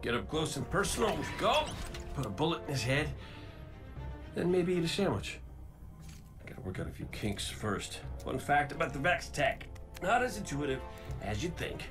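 An actor playing a wisecracking male robot gunslinger in a video game, full of quick sarcastic quips. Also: a little high, sing-song, music in the background. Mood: teasing banter. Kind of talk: video game cutscene acting.

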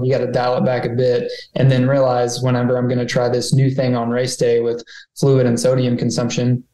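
A man's voice: medium pitch, dark tone. A man guesting on a podcast, giving practical advice in an easygoing manner. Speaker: podcast guest, sports scientist. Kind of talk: casual expert discussion. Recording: echoey room.

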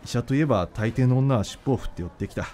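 A man's voice: medium pitch, fast, dark tone, natural voice. A man acting in a radio drama as an earnest young doctor in love, speaking informally and from the heart. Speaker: voice actor.

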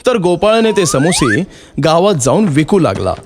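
A man's voice: a little high, very animated, moderate pace, noisy background. A man narrating a scripted moral story for children.

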